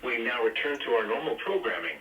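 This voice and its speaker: A man's voice: medium pitch, expressive, brisk, fairly bright tone, reverberant, far from the mic, dull audio. A man reading a scripted weather bulletin in a formal, impersonal way, heard through a radio speaker. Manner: formal, impersonal recited delivery. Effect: radio broadcast through a small speaker. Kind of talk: scripted weather broadcast announcement. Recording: noisy radio speaker picked up from afar.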